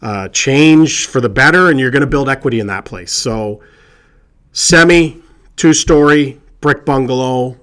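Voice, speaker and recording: A man, a real estate agent giving casual, reassuring advice to camera, hoarse from a cold and chatty with lots of fillers. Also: mid-range voice, a little slow, a bit bright. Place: indoors with a colleague present.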